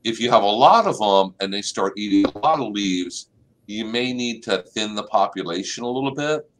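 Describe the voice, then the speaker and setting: A middle-aged man with a low voice that rises and falls a lot, explaining plant pests in a relaxed way. He is on a webcam in an office and hosts a live gardening call-in show. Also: normal speed, slight background noise, low-quality recording. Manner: relaxed, explanatory, uses y'all. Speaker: extension agent and plant clinic host. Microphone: webcam on a video call.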